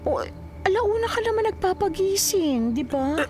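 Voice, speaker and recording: A woman's voice: very high-pitched, big pitch swings, normal speed, a little mellow, a little hiss or hum. A woman with a Filipino accent playing a coy, flirty gangster's mistress in an audio drama, speaking hesitantly. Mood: coy, drowsy.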